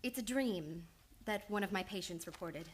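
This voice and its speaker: An actress playing a composed, determined and caring psychoanalyst, earnest and theatrical in an old-time radio play. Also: female voice, medium pitch, moderate pace, slight background noise, natural voice. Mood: probing. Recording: studio radio play with music behind.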